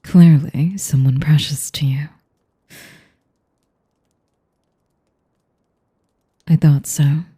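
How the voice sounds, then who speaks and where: A woman playing an elegant, manipulative vampire in an intimate audio roleplay, with a whispery voice and a slow, teasing, mock-polite manner. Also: deep voice, steady tone, mellow, full character voice. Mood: mock tenderness. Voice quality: breathy, whispery. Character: sadistic, elegant, manipulative female vampire noble.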